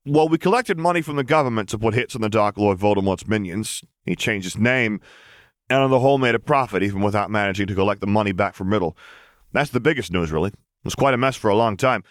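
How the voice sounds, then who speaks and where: A man's voice: fairly low pitch, expressive, fast talker, no echo, clean studio sound, voice pushed up, natural voice. A man voicing a chatty, deferential vampire henchman in an audiobook story, reporting eagerly and informally to his boss.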